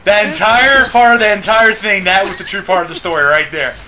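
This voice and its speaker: A woman in casual chat, giggly and excitable, throwing in teasing remarks over an amateur voice chat. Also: mid-range voice, fairly quick, crowd noise.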